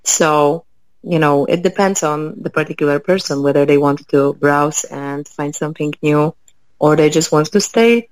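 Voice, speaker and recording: A low-pitched woman with a Polish accent speaks as an interview guest, taking her time and filling gaps with small hesitations. The sound is close and dry, with no room around it. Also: moderate pace, dry recording, poor audio. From Poland. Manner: hesitant, many fillers, thoughtful. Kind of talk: conversational interview.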